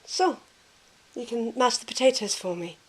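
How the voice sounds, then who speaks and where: A woman playing a caring, reserved young woman in an amateur audio drama, soft-spoken and reassuring. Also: a little high, moderate pace, close to an everyday voice, poor audio. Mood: warm, casual.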